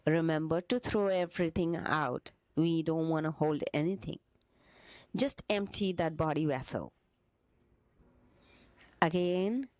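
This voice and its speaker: A woman leading a guided meditation as a teacher, with gentle, soothing, deliberate phrasing broken by short pauses. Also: fairly low pitch, no room sound, dull audio.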